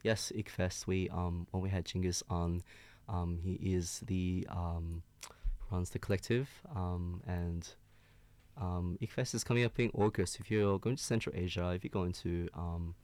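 A man's voice: low voice, steady tone, measured pace, a little mellow, no echo. A man from China co-hosting a casual radio show, hesitant with many ums. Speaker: radio host.

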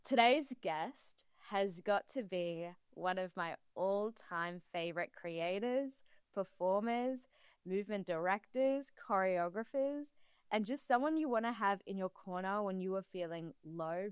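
Aussie lady hosting an interview, smooth clear voice, bubbly, dry close mic. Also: fairly low pitch, a little slow, no echo. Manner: bubbly, effusive. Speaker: interview host. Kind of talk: casual interview.

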